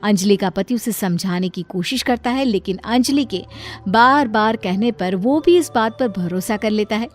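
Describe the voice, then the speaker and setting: A woman's voice: mid-range voice, normal speed, few pauses, soft background music. A woman reading scripted narration as the storyteller of a moral tale.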